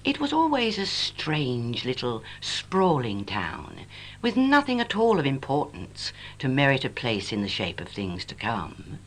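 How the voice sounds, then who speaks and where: An English woman reciting her own poem in a wistful, lyrical and measured way, on an old home cassette recording. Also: a bass voice, huge pitch swings. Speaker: poet reading own work. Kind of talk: expressive poetry recitation. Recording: noisy 1980s home cassette, humming. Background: tape noise and hum.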